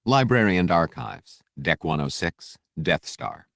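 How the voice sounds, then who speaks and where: A man's voice: low voice, very animated, unhurried, creaky, a bit bright, no echo, clean studio sound. A man narrating a novel as a scripted, dramatic audiobook reading. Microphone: close studio microphone.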